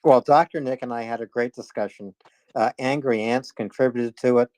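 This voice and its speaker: A man's voice: mid-range voice, steady tone, moderate pace, darkish tone, dry recording. A man in his sixties from coastal Maine, hosting a live audio call in a folksy, hesitant way with many fillers. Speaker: host. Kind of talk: casual chat on a live audio space.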